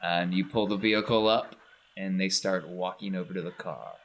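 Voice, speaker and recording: A man's voice: low-pitched, steady tone, moderate pace, a bit bright. A man narrating a tabletop game as game master in a conversational, encouraging way. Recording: clear background noise.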